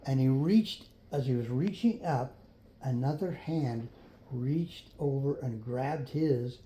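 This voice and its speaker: Mellow, folksy older man storyteller. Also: medium pitch, a little hiss or hum, low-quality recording. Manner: folksy, unhurried, pausing for children to fill in. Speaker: grandfather storyteller. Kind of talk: improvised children's storytelling.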